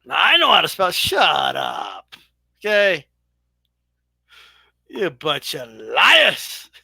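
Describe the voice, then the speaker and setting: A man with a high, bright voice riffs loosely to his live chat about the fights, swearing freely and teasing the viewers. The sound is dry and close, with no room around him. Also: huge pitch swings, extremely slow, vocal fry, slightly rough, dry recording. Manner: profane, chatty, banters with viewers. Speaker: boxing live-stream host. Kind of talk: casual live commentary to chat.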